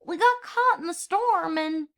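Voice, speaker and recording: A woman's voice: extremely high pitch, measured pace, a bit bright, pitched above the performer's own voice, full character voice. A woman voicing an earnest, practical little country filly in a cartoon fan story. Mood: apologetic.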